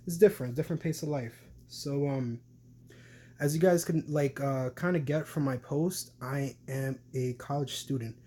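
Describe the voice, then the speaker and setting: A man's voice: medium pitch, moderate pace, warm tone. Young New man, rambling vlog. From New York City. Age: young adult. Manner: rambling, lots of fillers and restarts. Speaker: vlogger. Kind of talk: casual talk to camera.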